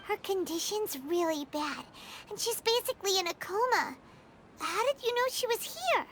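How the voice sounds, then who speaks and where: A little floating fairy sidekick for a video game, with a piercingly high, childlike voice. The delivery is chirpy, animated and inquisitive. Clear noise sits behind it. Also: female voice, moderate pace, exaggerated voice. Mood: concerned, then surprised. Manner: chirpy, expressive. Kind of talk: English video game voice acting.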